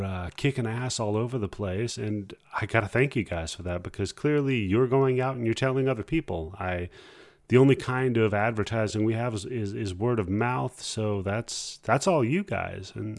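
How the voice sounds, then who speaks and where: A man hosting a podcast, talking informally and haltingly in a casual solo style. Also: lowish voice, no echo, studio quality. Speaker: podcast host.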